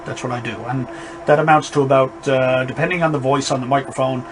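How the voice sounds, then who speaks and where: A man with a fairly high, not boomy voice, casually reviewing a radio amplifier, rambling and emphatic. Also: fairly even intonation, fast talker. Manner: rambling, emphatic warnings, restarts. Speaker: ham radio operator reviewing gear. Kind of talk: casual spoken equipment review. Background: amplifier fan noise in background.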